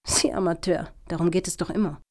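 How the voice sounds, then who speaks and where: An actress playing a touchy, dramatic applicant in a satirical radio play, with a prickly, tart manner. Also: female voice, on the low side, steady tone, fairly quick, a little mellow, dry recording, studio quality, lightly acted. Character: touchy, dramatic female applicant, easily affronted.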